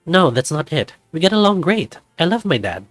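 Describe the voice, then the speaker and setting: A man's voice: fairly high pitch, sing-song, normal speed, dry recording, faint music behind, voice pushed up. A man playing an easygoing, polite and earnest young man on holiday, with a casual, mild way of talking. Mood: relaxed, earnest.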